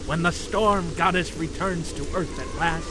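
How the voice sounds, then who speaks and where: A man's voice: a little high, unhurried. A man narrating an audio drama in a theatrical, florid storytelling style.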